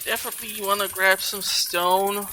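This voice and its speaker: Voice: mid-range voice, little pitch movement, normal speed, sharp tone, a little hiss or hum, low-quality recording. A gaming video host giving casual let's play commentary, chatty and rambling while thinking aloud. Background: video game music.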